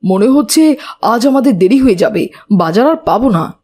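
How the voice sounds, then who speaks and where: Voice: medium pitch, lively intonation, normal speed, darkish tone, lightly acted. A woman voicing a polite, dutiful, earnest schoolboy in a children's cartoon.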